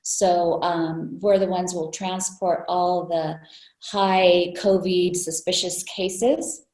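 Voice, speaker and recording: A woman speaking as a Red Cross spokesperson, explaining things clearly and firmly. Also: fairly low pitch, fairly even intonation, slow, a little mellow. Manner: clear, firm, clarifying. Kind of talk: explanatory presentation. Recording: echoey room sound.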